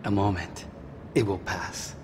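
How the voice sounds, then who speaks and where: An actor playing a haughty, bitter middle-aged corporate heir in a video game, with formal, measured diction. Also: male voice, deep voice, fairly even intonation. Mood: tense, restrained. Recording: long reverb, clear background noise.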